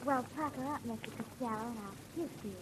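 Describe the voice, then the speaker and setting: Coy flirt, female actor, high voice, unhurried cooing delivery, echoing vintage 1940s radio comedy sound. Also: natural voice. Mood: flirtatious. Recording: echoey, very noisy old radio broadcast.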